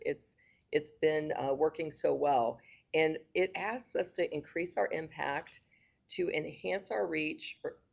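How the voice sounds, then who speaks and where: A woman's voice: low voice, gravelly. A woman serving as district governor, giving a speech at a club meeting, hesitant with many fillers and restarts, and losing her voice.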